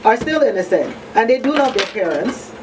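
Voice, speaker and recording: A woman hosting a live radio discussion in an earnest, deliberate way. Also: on the high side, big pitch swings. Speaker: radio talk show host. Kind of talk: live discussion, advocacy talk. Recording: distant mic, faint background noise.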